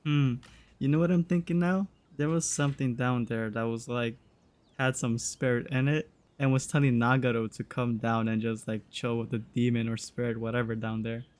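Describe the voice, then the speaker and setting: A man's voice: mid-range voice, steady tone, normal speed, darkish tone. A young man giving casual, sweary, slang-filled reaction commentary as he plays a game. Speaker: gaming streamer.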